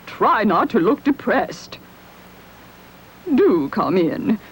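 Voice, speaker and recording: An actress playing a grand, doting duchess in a children's puppet show, with a gushing, genteel, theatrical delivery. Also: female voice, mid-range voice, sing-song, drawn-out words, breathy edge, heavily acted, poor audio. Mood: gracious, flustered.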